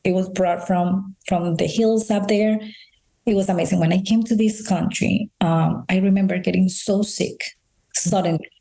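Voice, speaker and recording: A woman's voice: medium pitch, fairly even intonation, normal speed, creaky, mellow, low-quality recording. A Spanish-speaking woman from Colombia with a Colombian accent, telling personal stories earnestly as a guest in a conversational interview. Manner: earnest storytelling, frequent restarts and "you know". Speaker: integrative health practitioner, guest.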